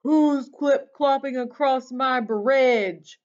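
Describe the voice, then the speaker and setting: A woman's voice: medium pitch, expressive, slow pace, muffled recording. A woman voicing a hideous, hungry old bridge troll in a children's storybook, roaring and menacing.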